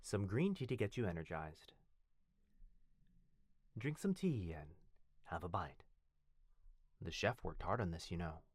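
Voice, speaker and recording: A man performs a loyal, courteous butler in an intimate ASMR roleplay. His low voice swings expressively as he speaks with formal deference and fond, gentle teasing. The sound is dry, with no room around it. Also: very animated, unhurried, long pauses, husky, no room sound, exaggerated voice. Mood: attentive, polite. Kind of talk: ASMR boyfriend roleplay, scripted acting.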